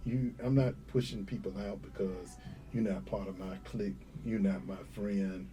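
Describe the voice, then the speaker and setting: Earnest middle-aged man, low mellow voice. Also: steady tone, normal speed, poor audio. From North Carolina. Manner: earnest, repeats phrases, many fillers. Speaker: sheriff candidate. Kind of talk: casual interview answers.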